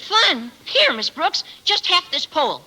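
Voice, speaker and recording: An excitable teenage schoolboy in a 1950s radio comedy. The voice is extremely high and piercingly bright, cracking with adolescent eagerness. He talks in flowery, exaggerated turns. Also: measured pace, exaggerated voice. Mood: eager. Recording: old radio broadcast recording.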